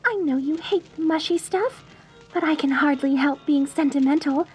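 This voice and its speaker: Woman playing a high-voiced, lovestruck deranged mortician bride. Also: moderate pace, soft background music, lightly acted. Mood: lovestruck, dreamy. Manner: theatrical, cooing, genteel and gushing with endearments. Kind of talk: dark comedy audio roleplay, addressed to the listener.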